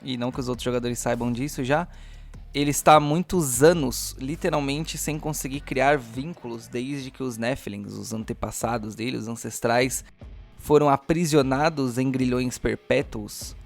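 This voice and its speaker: A man's voice: medium pitch, normal speed, vocal fry, faint music behind. Brazilian man narrating as game master. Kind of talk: dramatic tabletop game narration.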